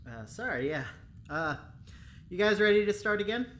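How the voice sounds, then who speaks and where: An actor playing an easygoing but flustered lab doctor in an audio drama, with a casual, hesitant way of talking. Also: male voice, sits high, sing-song, normal speed, fairly bright tone, slight background noise. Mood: chipper, awkward.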